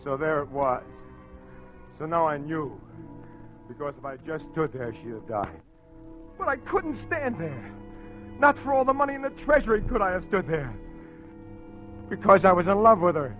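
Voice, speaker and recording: A man's voice: on the high side, very animated, moderate pace, pauses often. An actor playing a tough, slangy, tormented American crook who tells his own story in an old radio crime drama. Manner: slangy, hard-boiled. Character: tough male small-time crook, tormented, confessional narrator. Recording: dull old radio recording, music behind.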